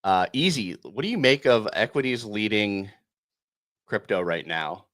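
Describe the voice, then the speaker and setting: A male podcast host with a fairly low voice speaks in a laid-back, halting way, filling pauses with ums. The delivery is loose and conversational. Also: lively intonation, fairly bright tone. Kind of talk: casual podcast banter.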